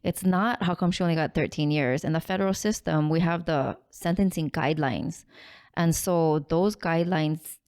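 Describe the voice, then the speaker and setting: A woman with a notably low voice speaks into a studio mic. She is a defense attorney from Hawaii, confident and direct as she lays out the legal details. The talk is a relaxed, casual interview. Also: normal speed, clear, resonant tone. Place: podcast studio. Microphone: studio microphone with headphones.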